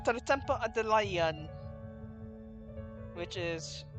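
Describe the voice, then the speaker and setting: A woman's voice: mid-range voice, expressive, slow pace. A woman giving casual gameplay commentary in an offhand way, partly muttering to herself. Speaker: gaming streamer. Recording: game music behind the voice.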